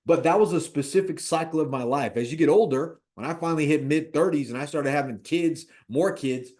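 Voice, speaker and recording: A man of fifty talking casually about personal finance as an investor, animated, repeating points and checking in with "right?". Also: a little high, a little fast. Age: 50s. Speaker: real estate investor.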